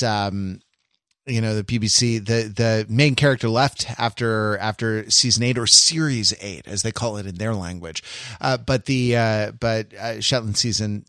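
A man's voice: lowish voice, pushed and bright, dry recording, clean studio sound. A man hosting a podcast in a casual, conversational style, with a wry and slightly hesitant manner. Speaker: podcast host.

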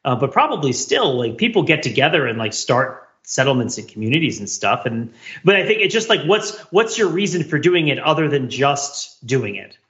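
A man hosting a casual panel podcast, rambling in an animated way and repeating phrases as he thinks aloud. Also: mid-range voice, normal speed, highs cut off. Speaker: podcast host.

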